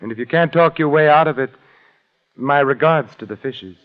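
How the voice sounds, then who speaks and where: An actor playing a suave, unflappable sleuth with urbane, dry, teasing wit, in a 1940s radio detective drama. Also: male voice, on the high side, moderate pace, faint music behind, natural voice. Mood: needling. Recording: muffled vintage 1949 radio recording.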